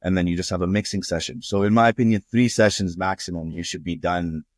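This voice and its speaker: A man's voice: sits low, fast, dry recording, clean studio sound. A young man from Jordan, a music producer guest, earnestly walking through his process in a casual interview.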